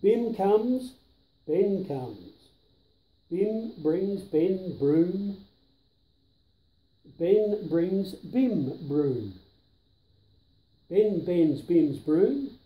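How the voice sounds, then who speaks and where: A man's voice: sits high, animated, very slow, long pauses, highs cut off. A man in his seventies reading a children's rhyming book aloud, placing each tongue-twisting word carefully and with emphasis. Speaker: storyteller grandfather. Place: indoors, living room on a sofa. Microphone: camera a few feet away. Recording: roomy living-room echo.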